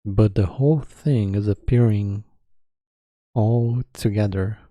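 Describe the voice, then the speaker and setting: A man guides a meditation in a very soft, dark, relaxed voice. He speaks slowly and calmly, leaving long pauses between short phrases. The sound is dry and close. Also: lowish voice, dry recording.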